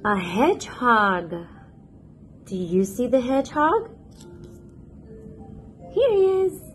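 High, lively woman reading to toddlers. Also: slow, faint music behind. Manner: playful, expressive, sing-song, with excited gasps and questions. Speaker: children's picture book narrator, host. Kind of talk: read-aloud for toddlers.

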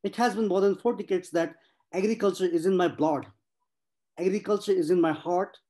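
A man's voice: high-pitched, moderate pace, mellow. A man in his forties from Nepal, giving an earnest personal talk as a speaker at an online panel, stressing points through repetition. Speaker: conference speaker.

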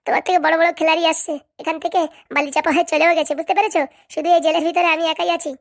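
Woman as a shrill, swaggering cartoon prison queen. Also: flat delivery, rapid-fire. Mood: haughty. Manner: taunting, bullying, bragging. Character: menacing female prison boss, veteran inmate, self-styled queen. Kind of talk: Bengali comedy cartoon dialogue.

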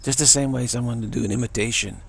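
A man with a deep voice giving a rambling spiritual monologue, often repeating words and chuckling. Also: fairly even intonation, quick pace, gravelly, husky.

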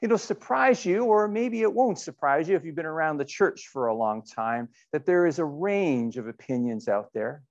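Middle-aged man, church pastor. Unhurried, earnest sermon delivery, slightly dull recording. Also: high-pitched, a little mellow. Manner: earnest, deliberate, rhetorical repetition and questions. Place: indoors in a church sanctuary.

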